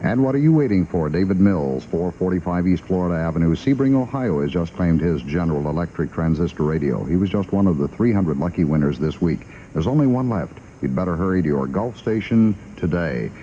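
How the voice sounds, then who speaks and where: A man's voice: low-pitched, expressive, brisk, hardly any pauses, mellow. A man hosting a 1960s radio talk program, on an old aircheck recording. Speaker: radio talk show host. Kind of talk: 1960s radio interview and announcing. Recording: dull, faintly noisy 1960s radio recording.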